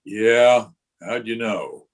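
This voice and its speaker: A man acts a sheepish, troubled husband in a radio detective play. His lowish voice is markedly husky, and he speaks very slowly and hesitantly. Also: expressive, heavily acted. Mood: worried, hesitant. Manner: hesitant, measured. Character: sheepish, troubled wealthy married engineer, male client. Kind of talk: old-time radio drama re-creation.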